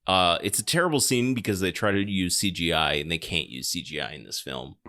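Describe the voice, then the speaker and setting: A man's voice: deep voice, lively intonation, a little fast, bright, forceful tone. A man hosting a podcast, talking casually about films in rambling, exasperated explanations. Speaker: podcast host. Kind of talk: casual film discussion.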